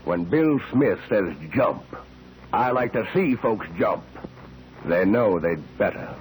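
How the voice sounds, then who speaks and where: A man's voice: on the low side, lively intonation, slow, a little mellow. An actor playing a cocky, slick, insinuating man in a 1940s radio drama. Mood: smug, menacing. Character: cocky, flirtatious bully who relishes power. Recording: noisy old 1940s radio recording.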